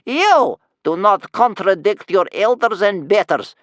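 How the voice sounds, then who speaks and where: A scary old grandmother, acted out by a man in a storybook, rasping high and loud with venom. She is stern and furious, cursing with doom-laden relish in wide, swooping tones. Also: expressive, measured pace, no echo, heavily acted.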